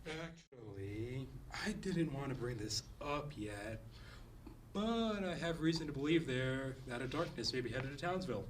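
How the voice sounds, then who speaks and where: A man's voice: mid-range voice, animated, measured pace, close to an everyday voice. A man playing a nostalgic, pushy middle-aged father of grown superheroes in a comedic table read. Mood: grave. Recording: distant mic, noisy room.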